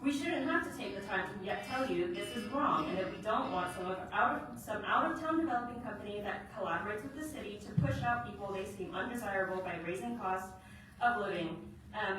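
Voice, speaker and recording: A woman community organizer giving an earnest, measured public comment in a city council chamber. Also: medium pitch, noisy background. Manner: earnest, measured, with some fillers. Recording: echoey hall from far off.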